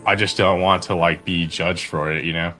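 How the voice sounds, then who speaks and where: A man with a very deep voice who hesitates and stalls, filling the gaps with "uh" and "um". His voice comes from a reality TV clip, with clear music playing beneath him. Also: fairly even intonation, sharp tone. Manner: hesitant, halting. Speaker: reality show contestant.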